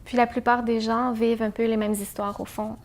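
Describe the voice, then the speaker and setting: A woman's voice: fairly high pitch, level tone, fairly quick. A woman hosting a talk show, asking questions and giving brief prompts and affirmations. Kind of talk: interview host questions.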